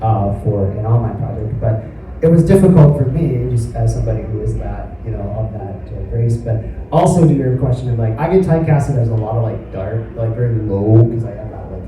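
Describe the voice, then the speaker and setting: A man's voice: on the low side, brisk, mellow. A man speaking as a voice actor at a convention panel, answering questions in a rambling, self-deprecating, apologetic way. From United States. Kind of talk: casual panel Q&A. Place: large convention panel room. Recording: echoey hall, distant mic, room noise.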